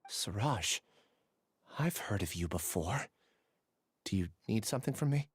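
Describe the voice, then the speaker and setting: A man playing a troubled, earnest young scholar in a video game, speaking in a measured, confessional way. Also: fairly low pitch, expressive, husky, dry recording, clean studio sound. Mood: guarded. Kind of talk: dramatic game voice acting.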